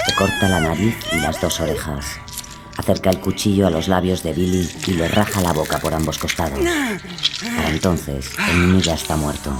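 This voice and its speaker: A man's voice: sits low, wildly swooping pitch, normal speed. A man narrating a true-crime story from a script in a dramatic style. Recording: music bed behind the voice. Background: music.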